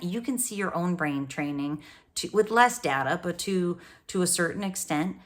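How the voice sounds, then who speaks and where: Low-voiced woman coaching with upbeat drive. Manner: upbeat, coaching, direct address with rhetorical questions. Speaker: brain coach and podcast host. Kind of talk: motivational solo talk to camera.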